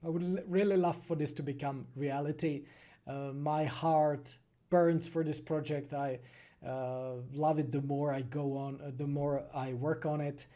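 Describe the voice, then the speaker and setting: A man from the Czech Republic with a Czech accent, answering viewer questions casually on a livestream, phrasing things thoughtfully with frequent pauses. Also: fairly high pitch, steady tone, dark tone. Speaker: animated film creator and streamer.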